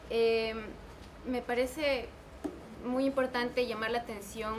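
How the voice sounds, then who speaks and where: Woman panelist, measured, hesitant delivery, noisy background. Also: a little high. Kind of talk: panel discussion answer. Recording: noisy room recording.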